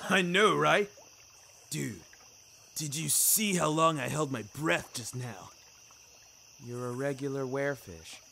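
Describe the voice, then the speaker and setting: A man's voice: mid-range voice, takes it slowly, creaky, pauses often, sharp tone, a little hiss or hum, voice pushed up, full character voice. A man voicing an upbeat male werewolf in a fiction podcast, a rocker dude with eager, slangy, emphatic delivery. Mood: excited, goofy.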